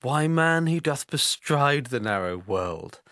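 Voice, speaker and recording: A bitter Roman schemer, played by a man in a Shakespearean tragedy. He declaims slowly and persuasively, with wide swings of pitch, in classical stage manner. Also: animated, sharp tone, dry recording, clean studio sound, full character voice. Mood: scornful, persuasive.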